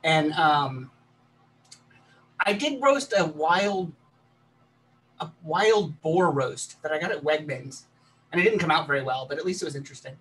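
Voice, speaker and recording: Halting man from Texas, talking on a call. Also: on the high side, animated, fairly bright tone. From Texas, USA. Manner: halting, many ums and restarts. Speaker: guest presenter, planetary scientist. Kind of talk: casual chat then slide presentation. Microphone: video call. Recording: distant mic, faint background noise.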